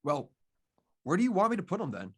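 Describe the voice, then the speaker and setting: Cocky, suave crime-drama lead, read by a man, casual speech with very lively intonation. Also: high-pitched, brisk, husky, full character voice. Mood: smooth, cocky. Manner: suave, informal. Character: suave, cocky male schemer. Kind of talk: scripted table-read acting.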